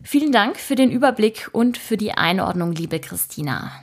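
A woman's voice: medium pitch, expressive, normal speed. A woman from Italy hosting a news podcast, presenting scripted items and interview questions.

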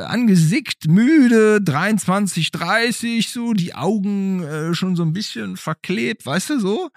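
A man, middle-aged, hosting and telling stories in a casual, chatty way with a husky voice. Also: on the high side, dry recording, studio quality. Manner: animated, chatty. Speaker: host. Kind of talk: casual storytelling and chat with a child.

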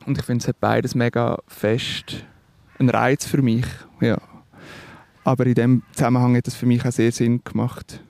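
A man's voice: medium pitch, level tone, moderate pace, creaky, darkish tone, no echo. A man with a Swiss German accent, a musician being interviewed, talking thoughtfully and hesitantly with fillers and restarts. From Switzerland. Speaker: musician guest. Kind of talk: reflective interview talk.

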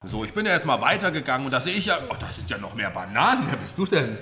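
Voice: mid-range voice, very animated, very fast, a loud crowd. An interviewer in a playful improvised audio play with children, reacting with amazement and echoing their answers in an encouraging way.

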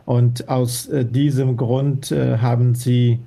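Earnest man, gravelly low-key voice. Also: flat delivery, takes it slowly, mellow. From Afghanistan. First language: Dari. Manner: earnest, many hesitation fillers. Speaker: guest, former interpreter. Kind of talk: radio interview answers.

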